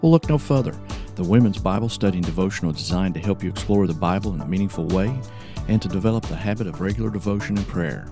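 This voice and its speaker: A man's voice: sits low, quick pace, music in the background. A man hosting a podcast, reading sponsor messages in an upbeat promotional tone. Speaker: podcast host.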